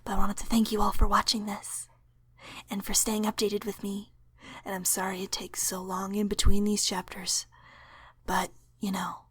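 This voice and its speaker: A woman's voice: mid-range voice, completely flat delivery, moderate pace, fairly bright tone, husky, dry recording. A young woman whispering a casual thank-you to viewers as the creator of an audio drama. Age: young adult. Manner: whispering, informal.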